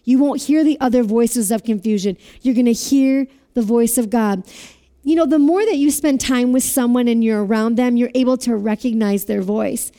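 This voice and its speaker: High-voiced woman preaching a sermon. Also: moderate pace. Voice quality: loud voice. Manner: asks the audience to repeat phrases.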